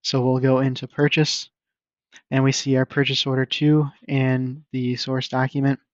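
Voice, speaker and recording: A man presenting a casual screen-share software demo in an informal, explanatory and somewhat hesitant way. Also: mid-range voice, little pitch movement, normal speed, dry recording. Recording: dull lo-fi microphone recording.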